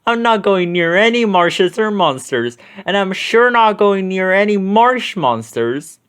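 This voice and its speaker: A man's voice: high voice, very animated, a little slow, a bit bright, smooth, voice pushed up, heavily acted. A man voicing a goofy, cowardly teenage slacker from a storybook, exclamatory and slangy. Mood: scared refusal. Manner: exclamatory, slangy, stammering when scared.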